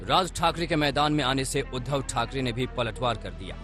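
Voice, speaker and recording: A man's voice: mid-range voice, lively intonation, a little fast. A man reading a scripted news report as a voice-over, with dramatic, punchy delivery. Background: background music under voice-over.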